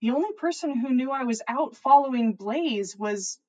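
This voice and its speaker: A woman narrates fan fiction on a story podcast. Her voice sits a little high, and she reads the prose expressively, like someone sharing a story with listeners. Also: lively intonation, moderate pace. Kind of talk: expressive story reading on a livestream.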